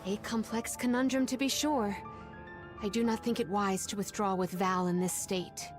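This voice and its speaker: A woman's voice: medium pitch, fairly even intonation, moderate pace, over music, natural voice. A woman voicing a composed, scholarly companion in a video game, with a formal and precise way of speaking. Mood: pondering. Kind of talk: video game cutscene acting.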